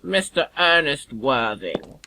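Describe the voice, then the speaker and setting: An actor playing a deferential English manservant in an audio play, speaking in a formal, measured, deadpan manner. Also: male voice, a little high, background noise. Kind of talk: audio play acting of Victorian comedy of manners.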